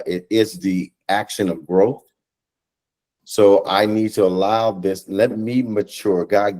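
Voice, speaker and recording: Low-voiced American man, earnest and halting. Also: a little mellow. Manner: earnest, halting, repeats phrases for emphasis. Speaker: guest, stage play cast or crew member. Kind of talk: reflective conversational interview. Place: indoors, on a video call. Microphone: video call microphone.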